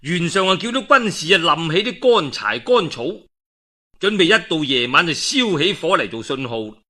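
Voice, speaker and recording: A man's voice: fairly high pitch, big pitch swings, normal speed, sharp tone. A man telling a historical tale in colloquial Cantonese in a traditional storytelling style, with dramatic delivery and conversational asides.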